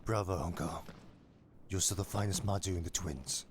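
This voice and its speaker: A man's voice: deep voice, moderate pace, noisy background, close to an everyday voice. A man voicing a brooding young warrior in a game fan dub, restrained and solemn. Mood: grave. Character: brooding young male warrior, quiet and grave. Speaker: fan voice actor. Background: game score music.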